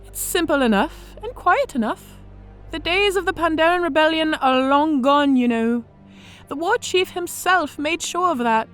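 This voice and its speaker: A battle-scarred orc woman with a high, lively voice that rises and dips as she spins war tales. She speaks with theatrical, measured weight in a fantasy audio drama, over faint music. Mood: matter-of-fact. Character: battle-scarred female orc veteran officer, worldly storyteller. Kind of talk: audio drama character acting.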